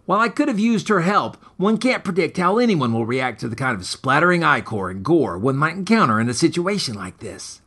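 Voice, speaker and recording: Man with lively, swooping storytelling delivery. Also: a little high, a little fast, few pauses, breathy edge. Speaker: author and podcast host. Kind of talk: scripted dramatic story narration.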